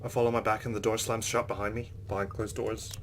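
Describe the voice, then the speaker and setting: A man's voice: fairly low pitch, little pitch movement, fast, very noisy. A man hosting a let's play, reading visual novel narration aloud and adding casual asides. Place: recorded voice-over with game music behind.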